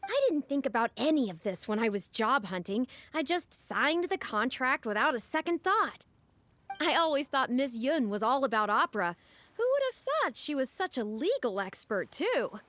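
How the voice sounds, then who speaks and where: A woman voicing a flustered, naive young female rookie journalist in a video game, excitable and expressive. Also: high-pitched, moderate pace, no echo. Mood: relieved, earnest. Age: young adult. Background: music.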